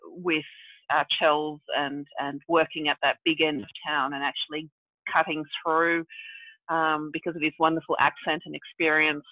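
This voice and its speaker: A woman's voice: sits low, little pitch movement, moderate pace, no room sound. A woman who grew up in Brazil and South Africa, thinking aloud as a guest on a video call, with many fillers. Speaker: guest, regenerative development practitioner. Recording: tinny phone-like dry audio.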